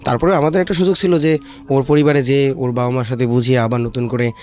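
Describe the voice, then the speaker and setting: A man's voice: medium pitch, steady tone, fast, darkish tone, faint music behind. A man with a Bangladeshi accent giving explanatory interview answers as a shelter manager, in hesitant phrases sprinkled with English terms.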